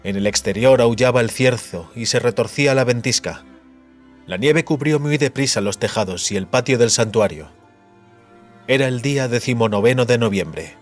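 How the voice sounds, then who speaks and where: A man's voice: medium pitch, moderate pace. A man reading dramatic scripted narration as an audiobook narrator. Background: music.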